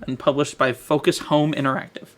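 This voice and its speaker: A man's voice: mid-range voice, level tone, a little fast. A man co-hosting a podcast, talking casually about pop culture in conversation. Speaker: podcast co-host.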